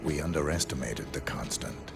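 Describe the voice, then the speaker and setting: Very deep, stoic male assassin. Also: moderate pace, gravelly, music in the background. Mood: guarded, cold. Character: cold, stoic male assassin of few words. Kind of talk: video game cutscene acting.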